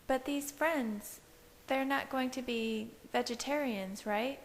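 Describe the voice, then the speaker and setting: A woman reader acts out an anxious teenage girl in an audiobook. The voice is lifted in pitch, with a nervous, questioning manner. There is slight hiss on the recording. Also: slow. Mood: nervous, frantic. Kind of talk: audiobook character voice. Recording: hissy home recording.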